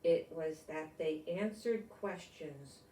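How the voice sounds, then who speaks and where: A woman's voice: low-pitched, takes it slowly, warm tone, slight background noise. An older woman reading a picture book aloud to children, expressively, with little asides and questions to the listeners. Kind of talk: animated read-aloud to children. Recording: echoey room, distant mic.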